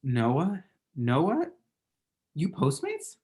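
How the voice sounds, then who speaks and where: Man acting awkward, halting young guy. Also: on the high side, huge pitch swings, a little mellow, exaggerated voice. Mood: flustered. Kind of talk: naturalistic romantic comedy table read.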